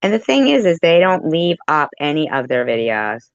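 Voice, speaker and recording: A woman's voice: sits low, sing-song, normal speed, dry recording. A blunt, combative woman doing reaction commentary, talking back and swearing freely, in a dull, lo-fi recording. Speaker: commentary channel creator.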